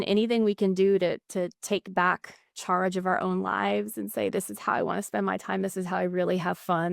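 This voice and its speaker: A woman's voice: mid-range voice, creaky, no echo. Woman podcast guest, explanatory, chatty, dry close sound. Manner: explanatory, frequent "like" fillers. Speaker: neuroscientist guest. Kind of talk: casual podcast conversation.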